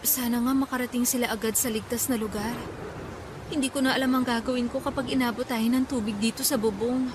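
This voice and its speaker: A high-voiced young woman, frightened yet devoted, played by an actress in Filipino radio drama style. She speaks earnestly and emotionally, over faint background ambience. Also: steady tone, brisk, noisy background, close to an everyday voice. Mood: fearful, pleading. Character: frightened, devoted young woman confessing love amid a storm.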